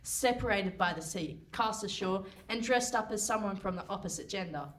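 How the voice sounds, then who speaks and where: Woman playing an arch mid-pitched radio narrator. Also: moderate pace, a bit bright, natural voice. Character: arch, matter-of-fact female mystery-play narrator. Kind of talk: live comic radio theatre narration. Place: hall stage before a live audience. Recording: faintly noisy live stage recording.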